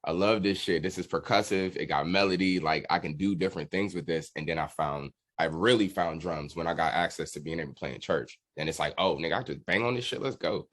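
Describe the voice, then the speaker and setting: A man with a low American voice talks as a guest in a relaxed interview. He tells personal stories candidly, using plenty of slang. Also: brisk, few pauses. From Washington DC area, United States. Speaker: musician guest, drummer. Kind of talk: casual interview storytelling.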